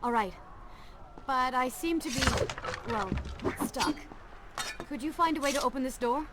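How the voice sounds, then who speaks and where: High-voiced bold young adventurer. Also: female voice, a little slow, heavy background noise. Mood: wry. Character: bold, practical young woman adventurer, wry and impatient. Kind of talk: dramatic video game voice acting.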